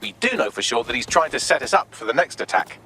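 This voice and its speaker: A man's voice: mid-range voice, very animated, fast, bright, forceful tone, slight background noise. An actor playing a sardonic male AI assistant with a British accent, talking in a dry, sarcastic, witty way in a game cutscene. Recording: game cutscene with music behind.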